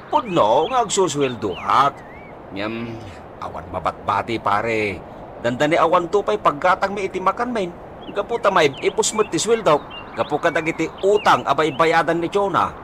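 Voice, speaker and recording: A man's voice: a little high, very animated, normal speed, a bit bright, slight background noise. A man playing a hard-up, browbeaten husband in a radio comedy-drama, hesitant and complaining, with an Ilocano accent. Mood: downcast.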